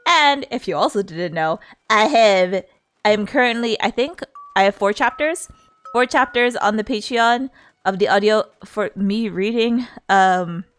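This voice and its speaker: A woman's voice: medium pitch, unhurried, fairly bright tone, clear, resonant tone, soft background music. A woman livestreamer chatting casually in a playful, giggly, rambling way. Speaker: streamer.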